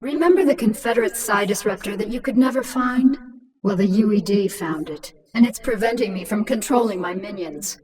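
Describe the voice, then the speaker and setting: A woman's voice: medium pitch, fairly quick, distant mic, a crowd in the background, lightly acted. An actress voices a menacing alien queen from a video game, a cunning and sardonic woman in command. She is taunting and self-assured, heard a little off the mic over a clamor of many sounds. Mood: coolly menacing.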